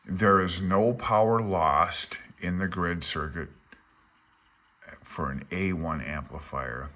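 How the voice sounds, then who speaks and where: A man's voice: low voice, takes it slowly, sharp tone. A man informally explaining and reading aloud from a technical handbook, in a folksy, offhand manner, thinking aloud as he goes. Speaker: instructor reading through a radio handbook.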